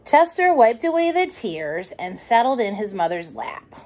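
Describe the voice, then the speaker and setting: A woman reading a picture book aloud to young children, gentle and expressive. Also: medium pitch, measured pace. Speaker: children's storytime reader.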